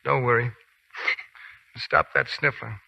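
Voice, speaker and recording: An actor in a 1940s radio play voicing a brash young soldier who talks tough and slangy. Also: male voice, mid-range voice, fairly even intonation, takes it slowly, creaky, sharp tone, full character voice, poor audio. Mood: tender. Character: brash young male soldier called Kansas, tough and cocky.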